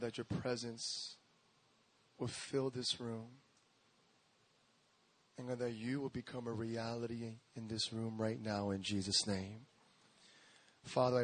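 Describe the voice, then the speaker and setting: A man's voice: mid-range voice, no room sound. A young man from Korea, a pastor, praying and then telling his testimony in a casual, slangy way, acting out quoted voices. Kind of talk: sermon with prayer and testimony.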